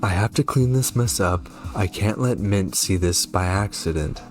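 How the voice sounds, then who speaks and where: A man's voice: sits low, moderate pace, over music, close to an everyday voice. A man reading game dialogue as a cold, matter-of-fact murderous witch and mother. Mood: cold, resigned. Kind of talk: read-aloud game dialogue.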